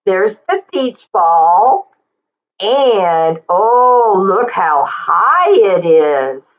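A middle-aged woman reading a picture book aloud for children, expressively and deliberately, drawing out words and adding simple explanations. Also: mid-range voice, sing-song, muffled recording. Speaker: children's story reader and teacher.

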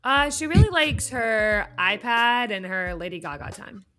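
A woman's voice: on the high side, lively intonation, a little slow, pushed and bright, faint music behind. A woman playing a cooperative elementary teacher, answering in a plain, matter-of-fact, conversational way. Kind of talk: conversational role-play of a consultation.

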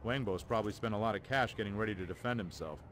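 Man playing a fast-talking military intelligence major. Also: on the low side, noisy background, lightly acted. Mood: businesslike. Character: brisk, matter-of-fact male army intelligence major. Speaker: army major, intelligence aide. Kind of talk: video game briefing dialogue.

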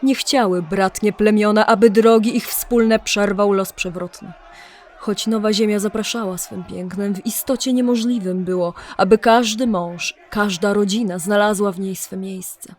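A woman's voice: mid-range voice, steady tone, normal speed. A woman narrating a legend as a solemn, dramatic storyteller in archaic, scripted language.

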